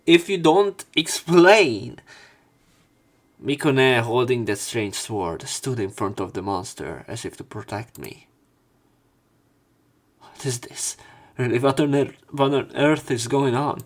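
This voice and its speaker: A man's voice: mid-range voice, takes it slowly. A man doing a let's play, reading story narration aloud dramatically with casual commentary. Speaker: let's player.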